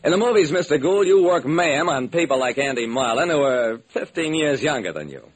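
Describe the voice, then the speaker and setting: A man's voice: on the high side, expressive, a little fast, husky, low-quality recording. A man narrating a scripted radio detective drama in the first person, telling it dramatically. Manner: dramatic storytelling.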